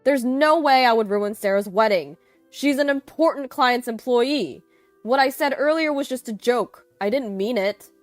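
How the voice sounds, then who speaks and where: A pompous, cowardly braggart of a man, played by a woman narrator. He blusters loudly in a dramatized story, pitched up, over music. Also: moderate pace, no room sound, close to an everyday voice. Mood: desperate, pleading. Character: arrogant boastful young man, cowardly when cornered. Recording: music behind the voice.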